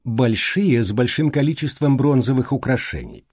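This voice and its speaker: A man narrating an audiobook, reading literary prose in a measured, deliberate way. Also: mid-range voice, a little mellow, slightly rough, no room sound, clean studio sound.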